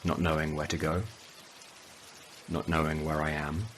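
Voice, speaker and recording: Guy acting as low voiced haunted man, brooding horror podcast monologue, noisy ambience behind. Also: level tone, unhurried, a bit bright. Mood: brooding dread. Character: haunted man trapped in a malevolent living city. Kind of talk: horror audio drama monologue.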